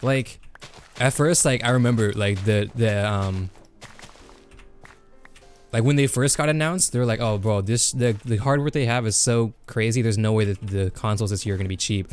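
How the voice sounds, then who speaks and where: A young man hosting a casual gaming chat, excitable, swearing and saying "bro" a lot. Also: lowish voice, moderate pace, smooth, faint music behind. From Kansas. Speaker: podcast host.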